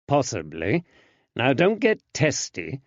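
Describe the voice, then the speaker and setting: Male reader playing a patient, shrewd detective, measured and even; storybook, dry close sound. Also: medium pitch, dry recording. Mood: calm. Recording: dull lo-fi, dry.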